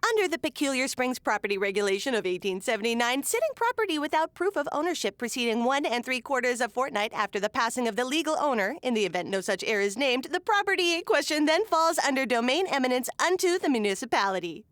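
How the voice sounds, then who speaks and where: An actress playing a scheming small-town mayor in a comedic audio drama, with a theatrical, mock-sweet, folksy and condescending delivery. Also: female voice, high voice, sing-song, fast talker, hardly any pauses, fairly bright tone. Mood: gloating, officious.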